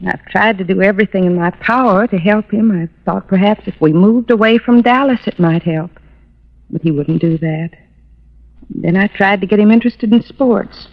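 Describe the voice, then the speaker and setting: Actress as a sweet, worried young radio-drama wife. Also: female voice, mid-range voice, moderate pace, lightly acted. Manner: earnest, hesitant, confiding. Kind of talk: old-time radio drama acting. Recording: muffled vintage radio recording.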